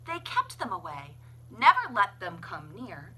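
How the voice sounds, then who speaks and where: A woman's voice: mid-range voice, huge pitch swings, a little slow, bright, forceful tone, slightly rough, rough recording. A woman reading a rhyming children's story aloud with dramatic, playful emphasis. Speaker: children's library story reader. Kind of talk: expressive read-aloud storytelling in rhyme.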